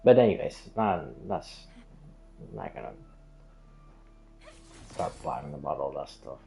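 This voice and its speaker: A man's voice: rumbling low, very animated, unhurried, vocal fry, dark tone. A man chatting casually as a game streamer, rambling and hesitant, often trailing off and drifting onto tangents. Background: background music.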